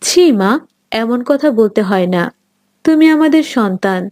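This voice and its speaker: A woman voicing a patient, caring mother in a cartoon, gently admonishing. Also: on the high side, expressive, normal speed, no room sound, studio quality, lightly acted. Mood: gently scolding. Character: patient mother gently scolding her daughter. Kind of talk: cartoon dialogue.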